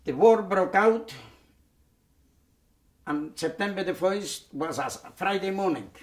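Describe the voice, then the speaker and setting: A man, giving oral history testimony in a halting way, with many repeated words and restarts. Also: sits high, expressive. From Poland. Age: 90s. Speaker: Holocaust survivor giving testimony. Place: dark studio set, indoors.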